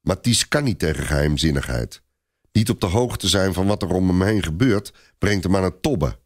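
A man narrating a dramatic historical story for a radio play in an expressive storytelling manner. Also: deep voice, normal speed.

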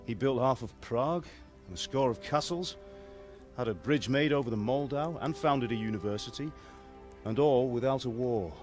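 An actor playing a stern, principled middle-aged father who works as a village blacksmith in a video game, speaking in a measured, firm way. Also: medium pitch, a little mellow, faint music behind, close to an everyday voice. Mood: reflective. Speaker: village swordsmith. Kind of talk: cinematic game dialogue.

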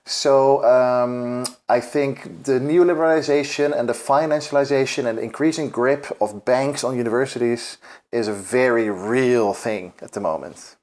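A man's voice: mid-range voice, normal speed, a little mellow. Thoughtful man answering interview questions. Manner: thoughtful, hedging, with fillers. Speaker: event participant interviewed.

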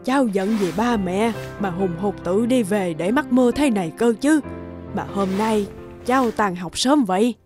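A woman's voice: mid-range voice, normal speed, music in the background, close to an everyday voice. A woman playing a caring old grandmother in a cartoon, who chides, teases and reassures. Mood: fussing. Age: older. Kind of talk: cartoon dubbing.

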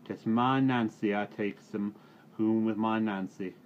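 A man's voice: lowish voice, steady tone, measured pace, natural voice. A man voicing a sullen farm labourer. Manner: sullen, grumbling. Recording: dull recording, faint hiss.

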